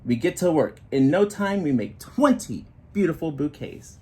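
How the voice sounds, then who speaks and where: A man reading a children's picture book aloud in an expressive storytelling style, with short explanatory asides. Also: on the high side, normal speed. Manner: expressive, acting out children's dialogue.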